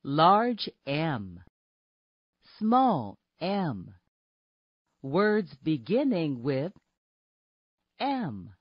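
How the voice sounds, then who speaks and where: A woman reading a scripted phonics lesson for young children, saying words and short phrases deliberately and separately. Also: deep voice, huge pitch swings, no echo, muffled recording. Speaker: narrator of a children's alphabet app.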